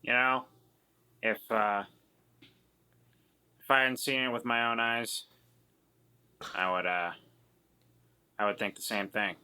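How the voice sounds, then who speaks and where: Male tabletop player, extremely bright tense tone, unhurried casual delivery. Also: on the low side, steady tone, clean tone. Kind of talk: casual improvised roleplay.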